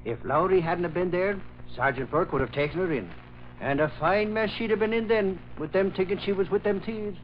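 A man acting an excitable, blustery newspaper reporter with slangy talk in an old-time American radio drama. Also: high-pitched, brisk, close to an everyday voice. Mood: animated, gossipy. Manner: blustery, exasperated, colloquial. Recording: muffled, hissy old radio recording.

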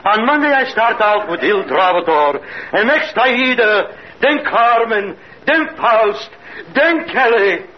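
High-pitched pompous Russian cook, old radio. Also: male voice, lively intonation, slow pace. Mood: pompous, mocking. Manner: pompous, formal foreign phrasing, insolent. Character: grandiose, impudent, gloomy male foreign tavern cook. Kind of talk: 1950s radio comedy acting. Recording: dull old radio recording with noise.

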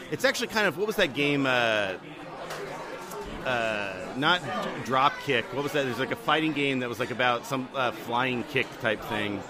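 Male guest, medium pitch, hesitant conversational delivery, busy crowd in the background. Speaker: podcast guest. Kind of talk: casual chat. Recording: busy crowd noise around the voice.

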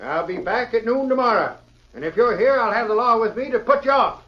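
A blustering villain in a vintage radio Western. His voice is pitched high, menacing and indignant. The recording is dull and muffled. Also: animated, brisk. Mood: angry, threatening. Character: blustering, threatening villainous mortgage holder. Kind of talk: 1940s radio acting.

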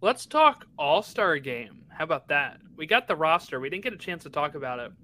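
A man co-hosting a casual soccer podcast, somewhat halting and correcting himself as he reads things out. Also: on the high side, very animated, creaky, pushed and bright. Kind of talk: casual sports chat, reading out a roster.

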